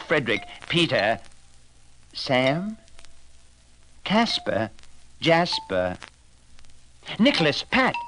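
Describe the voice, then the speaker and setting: A man's voice: a little high, fairly bright tone, a little hiss or hum, voice pushed up, full character voice, rough recording. A man voicing a distressed young girl, later a queen, in a storybook tale, plaintive and fretful. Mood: hesitant guessing. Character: distressed young poor girl who becomes a pleading queen.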